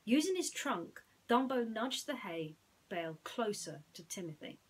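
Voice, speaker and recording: Woman, storyteller, breathy husky voice, medium pitch, bedtime story delivery. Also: big pitch swings, unhurried. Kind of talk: bedtime story narration and gentle reflection.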